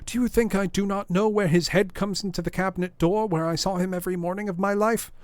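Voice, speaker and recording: Guy doing a scared old butler, higher voice, worked up and pleading, storybook reading. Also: animated, moderate pace, dry recording. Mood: frightened. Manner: agitated, pleading, rustic phrasing. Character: frightened loyal old male butler.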